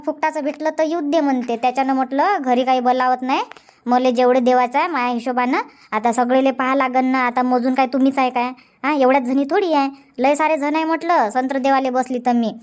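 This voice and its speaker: Busy, folksy village auntie for cartoon comedy, played by a woman: high-pitched, talkative, repetitive, Varhadi Marathi accent. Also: steady tone, fairly quick, close to an everyday voice. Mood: explaining. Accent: Varhadi Marathi from Vidarbha.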